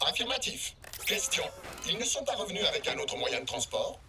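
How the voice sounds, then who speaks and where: A woman's voice: lowish voice, very animated, brisk, over music. A woman voicing a dutiful, literal-minded robot that speaks in clipped formal statements, in a French cartoon dub.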